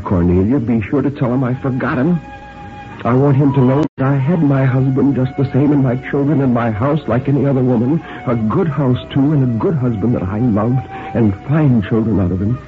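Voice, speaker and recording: A man's voice: mid-range voice, moderate pace, voice pushed up, natural voice. A man voicing a proud, wounded old woman near death, insistent and emotional, in a story reading. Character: proud, wounded dying old woman remembering her life. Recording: thin phone-like band, music behind.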